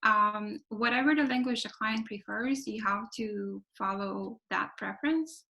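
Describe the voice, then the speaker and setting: A woman's voice: mid-range voice, unhurried, creaky, pushed and bright, low-quality recording. A woman giving a webinar talk over a video call, explaining things step by step with lots of "right?" and fillers. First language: Romanian. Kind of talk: webinar presentation.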